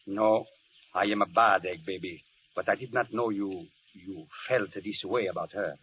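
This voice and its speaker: An actor playing an affectionate Italian army friend with an Italian accent and sing-song broken English in an old radio drama. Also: male voice, sits low, normal speed, natural voice. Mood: sheepish, affectionate. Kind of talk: 1940s radio drama acting. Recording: muffled, noisy vintage radio recording.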